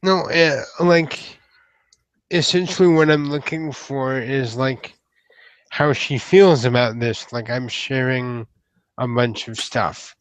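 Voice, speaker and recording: Male roleplay gamer, unhurried, hesitant phrasing, informal, dry sound. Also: mid-range voice, no echo, low-quality recording. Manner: hesitant, halting. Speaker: tabletop roleplay player. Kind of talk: casual game talk.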